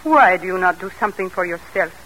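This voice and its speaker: An actress playing a caring, frank French governess and music teacher with a French accent in an old-time radio drama. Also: female voice, fairly low pitch, expressive, quick pace, poor audio. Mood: concerned, chiding. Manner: gently admonishing. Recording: old radio broadcast recording.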